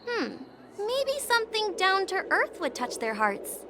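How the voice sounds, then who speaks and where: A woman voicing a brisk, practical traveling merchant in a game. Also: very high voice, huge pitch swings, noisy background, heavily acted. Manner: brisk and matter-of-fact. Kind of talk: game voice acting. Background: game soundtrack music.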